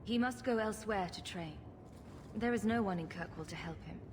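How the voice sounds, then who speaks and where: A woman's voice: medium pitch, brisk, background noise, close to an everyday voice. A woman playing a sarcastic young rogue heroine in a video game, with a wry, dry delivery. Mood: earnest. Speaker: player character. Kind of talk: video game cutscene acting. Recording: music behind the voice.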